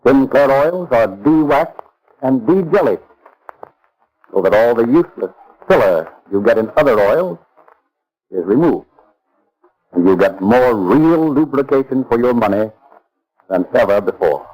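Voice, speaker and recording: A man's voice: medium pitch, animated, measured pace, pauses often, a little mellow, low-quality recording. A man announcing a sponsor's advertisement in polished, emphatic 1930s radio style, on a vintage recording.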